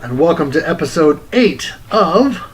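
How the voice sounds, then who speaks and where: A man of forty reading a scripted retro game review as host, in a deliberate, articulate, wordy way. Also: fairly high pitch, big pitch swings, slight background noise, rough recording. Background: music.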